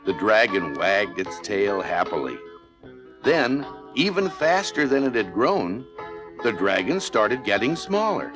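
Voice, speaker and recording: A man's voice: lowish voice, big pitch swings, a little slow. A man narrating a children's read-along storybook in a scripted style. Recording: music playing behind narration.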